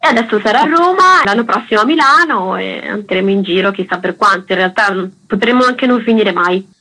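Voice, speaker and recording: A woman's voice: mid-range voice, animated, brisk, sharp tone, husky. A woman talking casually in an interview as a theatre guest.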